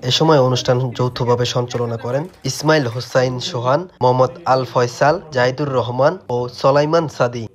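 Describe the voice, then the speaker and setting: A man's voice: medium pitch, a little mellow. A man with a Bangladeshi accent narrating a scripted television news report.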